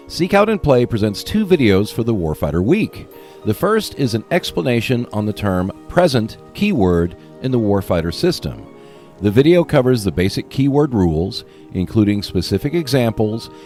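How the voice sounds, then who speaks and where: A man's voice: mid-range voice, a little mellow. A man hosting a solo podcast, delivering prepared announcements and commentary. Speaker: podcast host.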